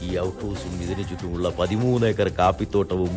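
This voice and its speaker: An actor playing a bitter, drunken planter who taunts and broods theatrically in a radio drama. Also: male voice, deep voice, fairly even intonation, quick pace, a little mellow, soft background music, lightly acted. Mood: bitter, brooding. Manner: theatrical, brooding, swinging from murmur to outburst.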